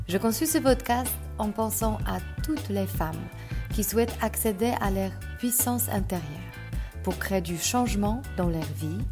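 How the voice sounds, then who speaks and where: A woman hosting a coaching podcast, reading a scripted intro and then interviewing a guest in a hesitant way with many fillers. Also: mid-range voice.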